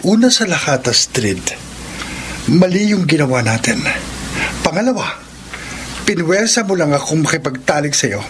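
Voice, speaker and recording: An actor playing a guilty, evasive husband in a radio drama, stammering and halting, with a Filipino accent. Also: male voice, fairly high pitch, sing-song. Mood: defensive, pleading. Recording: noisy radio drama track.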